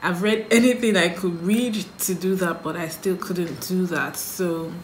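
A woman's voice: on the low side, unhurried, roomy sound, slight background noise. A Nigerian woman in her thirties, a makeup vlogger chatting casually to camera and musing through get-to-know-me questions about herself. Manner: relaxed, musing, answers her own questions aloud. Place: small indoor room, sheet backdrop.

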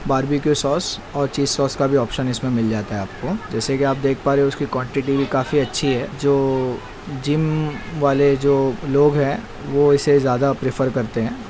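A man, hosting a food vlog and chatting about dishes and prices. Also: medium pitch. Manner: chatty, promotional, explains prices. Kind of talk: casual food review, street interviews. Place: restaurant in a city street. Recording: street traffic noise behind.

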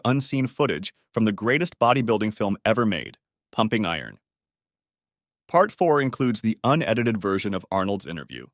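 A male host reads a scripted channel intro in a low voice. His delivery swings up and down like an announcer's. The sound is dry and close, with no room on it. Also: dry recording.

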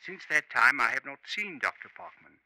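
An actor playing a genteel, pompous professor with a secret, formal and precise, in a 1950s radio drama. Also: male voice, mid-range voice, sing-song, normal speed, bright, forceful tone, dry recording, rough recording. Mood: guarded, pompous. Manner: formal, precise, hesitant in places. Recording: old 1950s radio recording.

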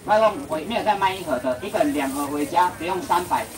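Young man, Taiwanese accent, fast market-auction patter, heard from a distance over a noisy crowd. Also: a little high, lively intonation. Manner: loud sing-song price calling, bantering with the crowd. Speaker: seafood auctioneer. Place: crowded outdoor seafood market under tents.

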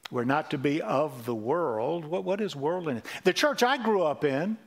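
Man, 60s, senior pastor, earnest sermon voice on a headset mic, a touch high-pitched. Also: animated, moderate pace. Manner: earnest preaching with wide gestures and repeated phrases. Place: church stage with a lectern.

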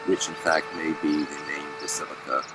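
Deep-voiced man leading a dig. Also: flat delivery, background noise. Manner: measured, hesitant with many fillers. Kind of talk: documentary explanation. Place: outdoor excavation site.

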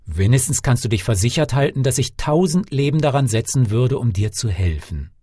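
A male narrator acts out a devoted brother of a king in a storybook tale. He pleads earnestly and urgently in florid phrases. The voice is dark, husky and very dry in sound. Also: mid-range voice, expressive, brisk, dry recording. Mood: earnest, urging. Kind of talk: fairy-tale audiobook dialogue.

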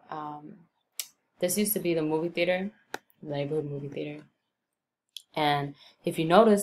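A young woman from Brooklyn, New York, narrating a screen-recorded tour of her neighborhood in a relaxed, rambling way with many ums and likes. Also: deep voice, a little hiss or hum.

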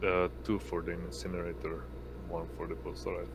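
A man acting as game master in an online tabletop role-playing game, narrating in a halting, hesitant way. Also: low voice, monotone, vocal fry, background noise. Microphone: online voice call.

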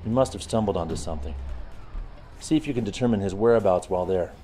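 An actor playing a brisk, authoritative intelligence chief briefing his team in a video game cutscene, businesslike and commanding. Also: fairly low pitch, mellow, soft background music, natural voice.

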